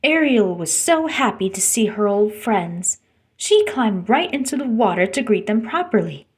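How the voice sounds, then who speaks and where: A woman's voice: medium pitch, big pitch swings, normal speed. A woman reading a children's storybook aloud as narrator. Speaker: storybook reader.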